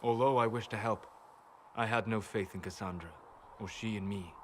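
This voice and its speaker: Guy voicing a low-voiced guarded elf mage, formal and careful, noisy game audio. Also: close to an everyday voice. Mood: reflective. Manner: soft, measured, formal phrasing. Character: reserved elven apostate mage, measured and guarded. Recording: game audio with clear background noise.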